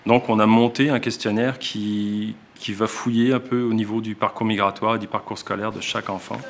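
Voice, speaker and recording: A man's voice: fairly low pitch, flat delivery, brisk. A male teacher with a Québécois accent, explaining in a documentary interview and often restarting his sentences as he thinks. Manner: reflective, explains with frequent restarts. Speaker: elementary school teacher.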